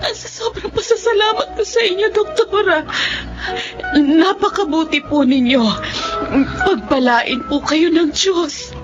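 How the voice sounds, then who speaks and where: High-pitched, pleading Filipino grandmother. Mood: tearful gratitude. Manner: pleading, tearful, halting. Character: desperate, poor elderly grandmother, humble and grateful. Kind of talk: Tagalog radio drama acting. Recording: dull lo-fi radio drama, music underneath.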